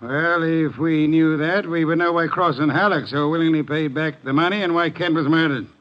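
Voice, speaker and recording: An actor playing a grumpy, brainy private detective with pompous, precise diction in a 1950s radio drama. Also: male voice, a little high, fairly even intonation, moderate pace, slight background noise, close to an everyday voice. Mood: firm, commanding. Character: imperious, grumpy, cerebral male private detective. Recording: muffled vintage 1950 radio recording.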